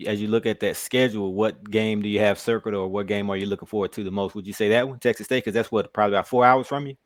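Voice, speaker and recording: A man with a low voice hosts a casual sports interview. He talks fast and teases his guest. The sound is dry and close. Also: fairly even intonation, dry recording. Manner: quick, friendly, teasing questions. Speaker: podcast interviewer.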